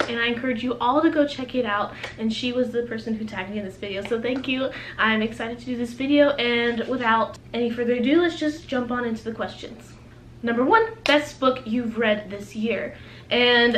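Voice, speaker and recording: A woman's voice: a little high, a bit bright. A young adult woman talking casually and conversationally to viewers as a book vlogger. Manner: informal, conversational. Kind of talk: casual chatty video blog. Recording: roomy echo, faint background noise.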